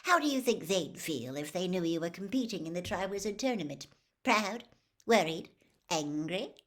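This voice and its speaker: A woman's voice: on the low side, animated, lightly acted. A woman voicing a gushing, pushy female tabloid reporter in a storybook reading, effusive and overbearing. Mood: probing, pushy. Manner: overbearing, effusive, drawn-out greetings. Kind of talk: storybook character voice.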